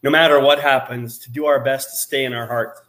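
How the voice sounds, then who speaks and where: A man's voice: medium pitch, fairly even intonation, brisk, a bit bright. Upbeat hesitant male host. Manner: hesitant and upbeat, restarts often. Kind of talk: casual chat.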